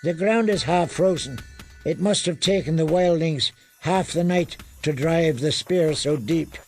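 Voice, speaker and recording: A man's voice: on the high side, unhurried, faint music behind. A man reading an audiobook, voicing a solemn, worried officer of a sworn brotherhood.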